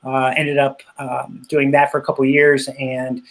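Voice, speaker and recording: A middle-aged man in a casual interview, talking about his work with frequent pauses, fillers and restarts; he grew up speaking Spanish. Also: medium pitch, completely flat delivery, poor audio. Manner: hesitant, many fillers and restarts. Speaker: interview guest, cycling business owner.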